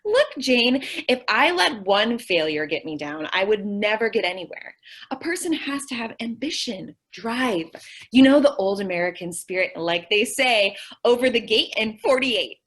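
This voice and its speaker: A woman's voice: on the high side, animated, moderate pace, a bit bright. A woman playing a naive, scatterbrained and stubbornly optimistic young woman in an old-time radio comedy. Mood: defensive optimism.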